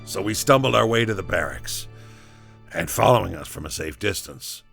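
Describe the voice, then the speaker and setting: An actor playing a rattled soldier telling a halting, conversational first-person story in an audio drama, with a gravelly voice. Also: male voice, fairly low pitch, expressive, a bit bright, soft background music, exaggerated voice. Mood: shaken, intense. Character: rattled male battalion soldier recounting a disturbing morning.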